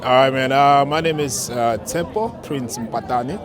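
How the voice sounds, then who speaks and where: A man's voice: medium pitch, normal speed. A man answering casually and informally in a street interview. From South Africa. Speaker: interviewee, foreign resident. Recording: outdoor street with crowd chatter. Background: urban crowd.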